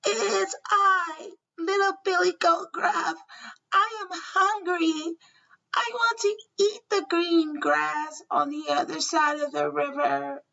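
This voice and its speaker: A woman's voice: extremely high pitch, fairly even intonation, slow, creaky, pushed and bright, far from the mic, muffled recording, voice pushed up, full character voice. A woman voicing a small, timid young billy goat in a storybook, with a tiny, pleading, childlike delivery. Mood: timid, hungry.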